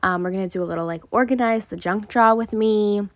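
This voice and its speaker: A woman's voice: medium pitch, quick pace, no room sound. A woman vlogger giving a casual, chatty voice-over introduction.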